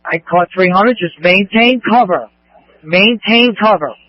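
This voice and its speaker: A man with a high voice gives orders as police commander over the radio. His phrases are short, firm and repeated. The scanner sound is dry and dull. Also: a little slow, dry recording. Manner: firm, repetitive, directive.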